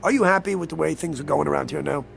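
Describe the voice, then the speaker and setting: A man's voice: fairly high pitch, rapid-fire, gravelly, a bit bright. An actor playing a sly, genial, mysterious newspaperman in a radio drama, wheedling and courtly, stammering when pressed. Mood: smug, sly. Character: sly, genial, mysterious male newspaper reporter and linotype operator. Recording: radio drama with music underneath.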